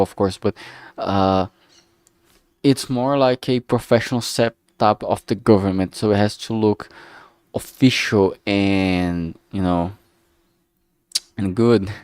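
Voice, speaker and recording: A man streaming as he works, thinking aloud casually in a halting way and muttering to himself. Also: fairly low pitch, a little mellow, no echo. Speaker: streamer making a visual novel. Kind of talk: casual think-aloud art livestream commentary.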